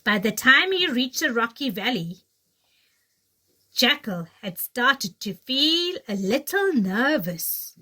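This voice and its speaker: Lady teacher reading a kids story, really animated, slow pace, high voice. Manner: animated, addressing young children. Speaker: pre-primary teacher, storyteller. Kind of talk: reading a children's story aloud.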